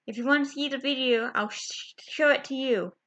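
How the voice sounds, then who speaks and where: A young woman chatting to her webcam from a small bedroom, halting, with many fillers and restarts. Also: high-pitched, a bit bright. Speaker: vlogger. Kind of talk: casual chat to camera. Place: small bedroom with window blinds. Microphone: webcam at close range. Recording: dull lo-fi webcam audio.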